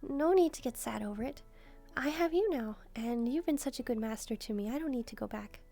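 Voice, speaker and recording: Woman as a mellow, gentle demon maid. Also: a little high, animated, a little fast, gravelly. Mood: affectionate. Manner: gentle, musing, softly teasing. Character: gentle, devoted young female demon maid. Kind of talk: soft-spoken roleplay addressed to the listener. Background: background music.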